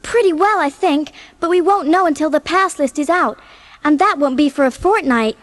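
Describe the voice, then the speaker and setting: A woman's voice: high voice, normal speed, no room sound, pitched above the performer's own voice, natural voice. A woman voicing an earnest, eager teenage schoolgirl in an audiobook story. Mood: anxious, hopeful.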